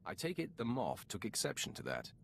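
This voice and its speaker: An actor playing a cold, commanding Sith warrior lord in a video game, speaking in a curt, imperious, menacing way. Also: male voice, mid-range voice, wildly swooping pitch, fairly quick, vocal fry, a bit bright, slight background noise, heavily acted. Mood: measured, probing. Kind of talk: scripted video game dialogue.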